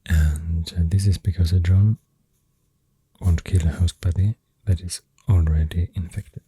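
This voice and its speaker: Man, Swedish accent, soft-spoken ASMR host, dark mellow tone, very dry close-miked sound, hushed halting delivery. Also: deep voice, fairly even intonation, no room sound. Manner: hushed, breathy, half-whispered. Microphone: close ASMR microphone.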